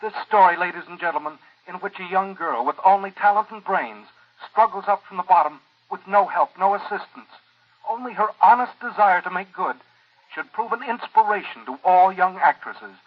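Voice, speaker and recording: An actor playing a gushing gossip columnist on the air, in a breathless broadcaster's delivery, in a 1940s radio drama. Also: male voice, high voice, normal speed. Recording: muffled old radio, radio-within-radio.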